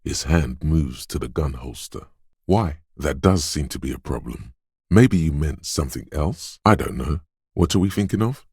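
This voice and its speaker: A man voicing a detective's quick-witted inner voice in a video game, narrating in a measured, deliberate way with short, wry, alert asides. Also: rumbling low, expressive, darkish tone, husky, dry recording, clean studio sound, full character voice. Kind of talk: scripted game narration, second-person inner voice.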